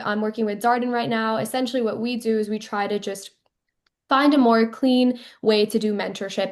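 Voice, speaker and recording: Earnest young woman with a higher voice. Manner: earnest, enthusiastic, repeats "really". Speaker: student panelist, co-founder. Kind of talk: panel self-introduction.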